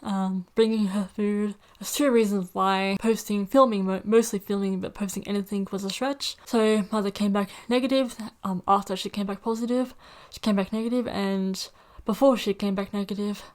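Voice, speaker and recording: A woman hosts a reaction video, a native English speaker with a mid-pitched voice. She talks casually and loosely to her viewers, hesitating and circling back over what she has said. She speaks into a desktop USB microphone. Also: steady tone, normal speed, darkish tone. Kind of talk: casual chat to camera.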